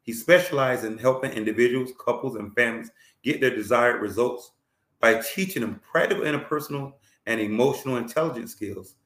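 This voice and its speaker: A man's voice: mid-range voice, a little fast, a bit bright, reverberant. A man hosting a talk show, introducing his guest with effusive praise and plenty of fillers. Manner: effusive, repeats words and fillers. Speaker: host.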